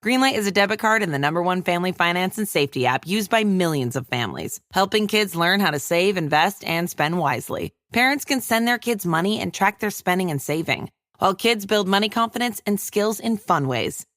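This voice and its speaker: A woman's voice: fairly low pitch, expressive, a little fast, few pauses, a bit bright, dry recording. Woman reading an ad, very dry sound. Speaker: advertisement announcer. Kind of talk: scripted ad read.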